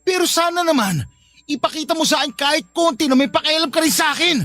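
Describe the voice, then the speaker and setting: Actor as a high-voiced, pleading Filipino boyfriend. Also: male voice, fast talker, sharp tone, soft background music. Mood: pleading, wounded. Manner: earnest, pleading, emotionally pressing. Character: hurt, insistent young boyfriend pleading to be fought for. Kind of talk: Filipino radio drama acting.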